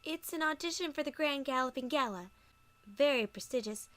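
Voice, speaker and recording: A woman performs a bashful, polite female pony from a storybook. The voice is high and sweet, with courteous, gentle delivery suited to audiobook dialogue. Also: animated, moderate pace. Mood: informative. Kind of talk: audiobook character acting.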